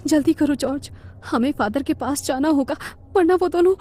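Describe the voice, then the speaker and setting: A woman's voice: high voice, normal speed, mellow, lightly acted. A woman playing a frightened, distressed young woman in a horror story, with urgent, pleading delivery.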